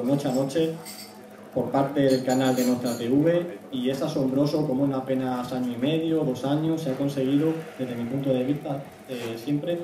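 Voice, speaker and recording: A man with a Spanish accent gives a relaxed talk to a room, using a handheld mic. His voice is dark and mellow, and it comes across a bit far from the mic. The room adds a lot of echo, with a faint hiss underneath. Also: mid-range voice. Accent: Spanish from Spain. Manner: conversational, frequent "¿no?" tags. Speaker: guest speaker, YouTube analyst. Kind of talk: semi-prepared public talk. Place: small indoor meeting room. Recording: echoey room, distant mic, faint noise.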